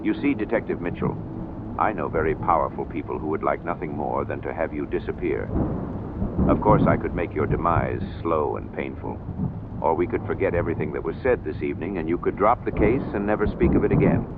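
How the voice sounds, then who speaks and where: A man's voice: very deep voice, fairly quick. A man playing a cold, threatening government commandant villain in a radio drama, tinny and noisy. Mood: menacing. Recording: tinny lo-fi with noise.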